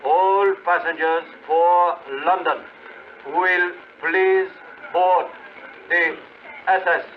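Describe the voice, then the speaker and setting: Formal male announcer, extremely slow drawn-out phrases, highish pitch, vintage radio, muffled sound. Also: steady tone. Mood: formal, impersonal. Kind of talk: drawn-out public announcement in 1950s radio drama. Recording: muffled, faintly noisy 1950 radio recording.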